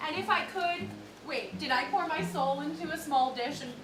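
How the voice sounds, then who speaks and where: A woman in her thirties with a high voice tells a story on stage and often laughs. The sound comes from a distance, echoing through a theatre, with an upright bass playing behind her. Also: normal speed, over music. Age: 30s. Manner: storytelling with laughs, mimicking customers. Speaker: solo stage performer. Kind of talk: theatrical monologue. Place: theatre stage with live audience. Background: upright bass, audience laughter.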